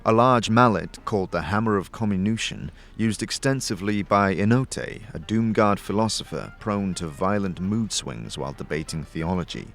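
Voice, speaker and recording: A man's voice: fairly low pitch, moderate pace, hardly any pauses, a bit bright. A man narrating a fantasy audio drama in a dramatic, storytelling style. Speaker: narrator, game-story performer.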